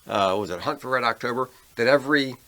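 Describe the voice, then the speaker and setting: A man's voice: mid-range voice, fast talker, bright, forceful tone. An American man co-hosting a podcast in casual conversation over a beer. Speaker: podcast co-host.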